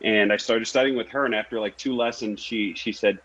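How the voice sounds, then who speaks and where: A man's voice: on the low side, steady tone, a little fast, fairly bright tone. A man, an actor and singer, telling his story casually as an interview guest. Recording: echoey room, dull lo-fi audio.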